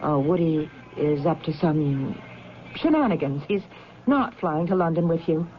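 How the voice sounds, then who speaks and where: A woman's voice: low voice, unhurried. An actress playing an insistent wife searching for her husband in a 1970s radio drama, chatty, theatrical and pressing. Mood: insistent, puzzled. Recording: muffled, faintly noisy old radio recording.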